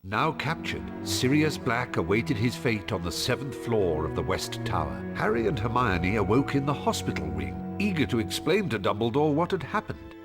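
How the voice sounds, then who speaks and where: Animated male story narrator. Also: mid-range voice, normal speed, few pauses. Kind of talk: dramatic scripted storybook narration. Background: music.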